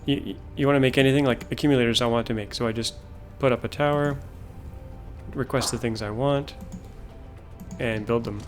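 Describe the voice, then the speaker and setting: A man narrating his own play session in a relaxed, musing way, his voice a bit worn from talking all day. His pitch sits in an ordinary middle range. Soft game music and keyboard clicks play faintly behind him. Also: fairly quick. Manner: musing, thinking aloud while playing. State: losing his voice. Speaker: gameplay video host. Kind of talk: casual let's-play commentary.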